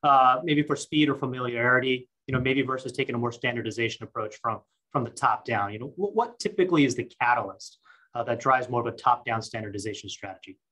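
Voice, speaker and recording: A man asking informal questions as an analyst on an earnings call, in a halting way that repeats words. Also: mid-range voice, pushed and bright, low-quality recording.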